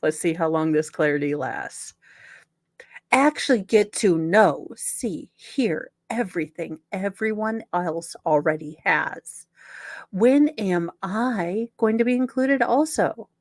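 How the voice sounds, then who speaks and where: A woman's voice: fairly low pitch, lively intonation, takes it slowly, no echo, studio quality. A woman hosting a comedic dramatic reading, sarcastic and theatrical, breaking in with mocking, casually sweary asides. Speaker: podcast host.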